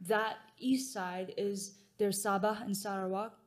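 A woman's voice: mid-range voice, fairly even intonation, unhurried. A young adult woman from Malaysia, a guest in a casual interview. She answers plainly and matter-of-factly, with hesitant fillers.

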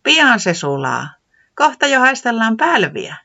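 Nainen esittää iloista, vieraanvaraista säämiestä korkealla äänellä tuhkaisessa äänitteessä. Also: female voice, on the high side, wildly swooping pitch, fairly bright tone, slightly rough, highs cut off, voice pushed up, full character voice. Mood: beaming. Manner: friendly, beaming, hospitable. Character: cheerful hospitable weather man, eager and chatty.

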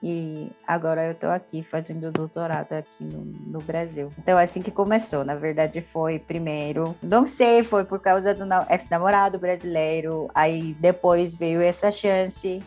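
A young woman from South Korea speaks with a Korean accent in a fairly low voice. She tells her story in a casual, meandering, conversational way, often checking for agreement. The sound is slightly muffled, with music playing behind her. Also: darkish tone. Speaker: interview guest, translator and doctoral student.

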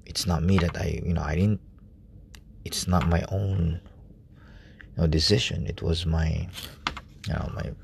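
A man preaching softly at night in a relative's room, speaking in a hushed, halting way with many fillers. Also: low-pitched, fairly even intonation, gravelly, a little hiss or hum. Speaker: lay preacher. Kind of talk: informal late-night sermon.

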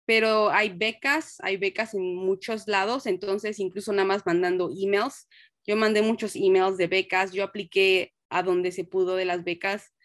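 A young woman from Mexico speaks with a Mexican accent as an interview guest. She is earnest and reflective, with a mid-pitched voice in a dry, close space. Her answers are conversational, with an occasional English word slipped in. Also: a bit bright, no echo. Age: young adult. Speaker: interview guest, nurse and researcher.

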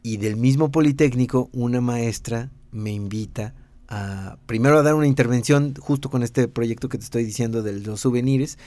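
A man's voice: medium pitch, normal speed, dry recording. Mexican man telling his story, dry sound. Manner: relaxed, rambling with many fillers and restarts. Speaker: designer and teacher. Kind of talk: casual interview.

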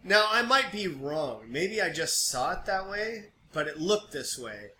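Bright-voiced man rambling in an echoey room. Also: high-pitched, a little slow. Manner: animated, jokey, rambling. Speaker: podcast co-host. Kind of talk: casual chat. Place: small room, podcast setup. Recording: distant echoey mic, background noise.

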